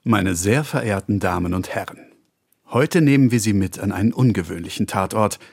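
A man's voice: lowish voice, expressive, moderate pace, slightly rough, dry recording, studio quality. A man playing a formal, theatrical narrator of a comic radio crime story. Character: grand, wry narrator of a salon murder mystery. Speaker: actor at a table read. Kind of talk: scripted radio play narration.

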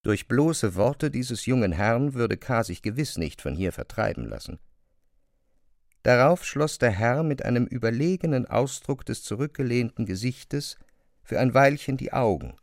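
A man narrating a literary audio drama, with measured, deliberate phrasing. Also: mid-range voice, darkish tone, no room sound, studio quality.

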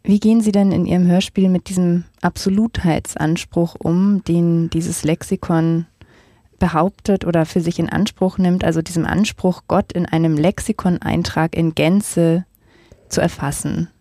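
A woman interviewer putting measured, considered questions to her guest. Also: lowish voice, fairly even intonation, warm tone, dry recording, clean studio sound.

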